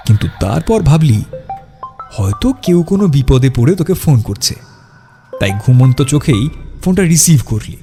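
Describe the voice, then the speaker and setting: A male storyteller reads a horror tale with a fairly high, very animated voice. His delivery is dramatic and suspenseful, set over background music. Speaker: story narrator. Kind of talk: dramatic scripted horror narration. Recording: music behind the voice.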